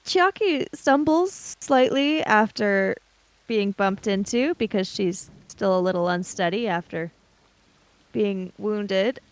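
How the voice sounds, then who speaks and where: A woman playing in a tabletop role-playing game, chatting casually and narrating her character, sometimes haltingly. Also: mid-range voice, dry recording. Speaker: tabletop role-play player.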